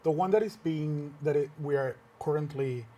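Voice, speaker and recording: A man's voice: fairly high pitch, dark tone, lo-fi sound. Earnest man, Spanish first language. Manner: earnest, with frequent pauses and restarts. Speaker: filmmaker and workshop teacher. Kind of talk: conversational interview answers. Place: TV studio.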